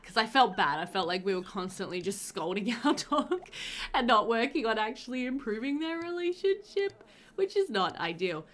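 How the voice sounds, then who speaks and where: A woman in her thirties narrates her gameplay in a loose, chatty way, laughing often. Her nose sounds slightly stuffed up. She talks into a headset at a middle pitch. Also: fairly bright tone. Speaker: gaming channel host. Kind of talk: casual gameplay voiceover.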